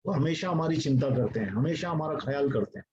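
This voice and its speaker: Male pastor, earnest sermon, slightly high voice, dull lo-fi recording. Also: animated, fast talker, darkish tone. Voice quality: mixes English phrases into speech. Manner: earnest, repetitive, addresses congregation directly. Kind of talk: sermon teaching. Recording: dull lo-fi recording with faint noise.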